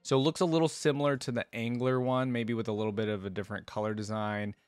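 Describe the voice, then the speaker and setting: A man's voice: mid-range voice, fairly even intonation, quick pace, smooth, no echo. A man hosting a reaction video, chatting casually to viewers and thinking aloud as he comments. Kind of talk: casual commentary, reacting to game music and artwork.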